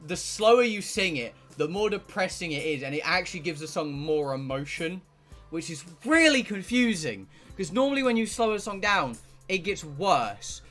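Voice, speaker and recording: A male streamer with a bright, forward voice pitched a little high. He rambles casually, swearing now and then, and reads game text aloud between bits of chat. Soft music plays behind him. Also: very animated, moderate pace. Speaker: streamer playing a visual novel.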